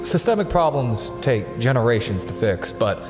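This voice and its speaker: A man playing a sincere, reserved wealthy philanthropist in an audio drama, speaking in an earnest, halting, restrained way. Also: medium pitch, sing-song, big-room sound, music in the background, lightly acted. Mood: solemn, sincere.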